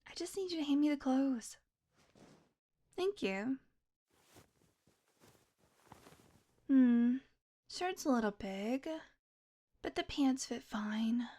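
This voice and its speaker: A woman's voice: high voice, expressive, slow, pauses often, warm tone, full character voice. A woman acting a sly, sarcastic, flirtatious female vampire in an intimate audio roleplay, teasing and sometimes dropping into a murmur. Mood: flirty, cheeky.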